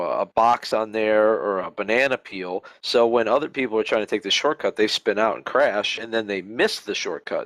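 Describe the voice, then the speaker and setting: A man from Cleveland, Ohio, with an Ohio American accent, co-hosting a podcast in joking, animated casual chat. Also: on the low side, no room sound, poor audio. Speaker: podcast co-host.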